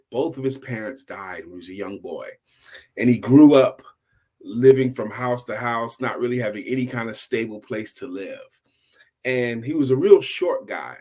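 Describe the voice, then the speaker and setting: A man's voice: medium pitch, a little mellow, breathy edge. A middle-aged man hosting an informal motivational storytime, repeating key phrases for emphasis like a seasoned speaker. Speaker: storytelling host, sales coach and speaker.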